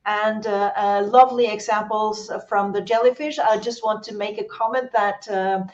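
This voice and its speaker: A woman's voice: medium pitch, steady tone, normal speed, darkish tone. A woman from Norway moderating an online webinar, speaking with many fillers and restarts over a video call. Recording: dull lo-fi call audio.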